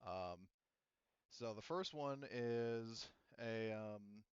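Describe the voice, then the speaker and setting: A man acting as game master, casually narrating and making rulings in a halting, rambling way that often interrupts itself. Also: on the low side, clean tone, dry recording, dull audio.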